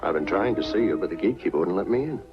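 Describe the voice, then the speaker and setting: An actor playing a brisk, persistent investigator in a 1940s film noir style. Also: male voice, deep voice, lively intonation, music in the background. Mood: politely insistent. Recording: muffled 1940s film soundtrack.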